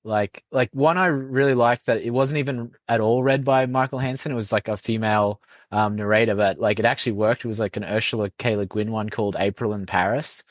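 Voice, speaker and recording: A man with a fairly low voice talks quickly in a casual podcast discussion. His phrasing is informal and piecemeal, recorded dry and close. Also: fairly even intonation, dry recording. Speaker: podcast participant.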